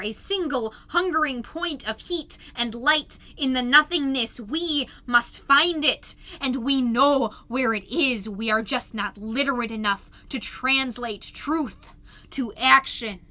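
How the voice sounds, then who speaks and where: A woman voicing an entranced mother in eerie revelation, speaking in slow, repetitive incantation for an audio drama. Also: on the high side, pushed and bright. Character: entranced mom lost in eerie, zealous revelation. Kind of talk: scripted audio drama monologue.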